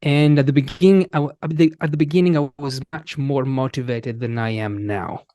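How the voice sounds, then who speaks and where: A man's voice: mid-range voice, fairly even intonation, warm tone, no echo. A man in his thirties from Italy with an Italian accent, an English teacher talking in a casual interview and often restarting his sentences. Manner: slow, halting, many restarts and fillers.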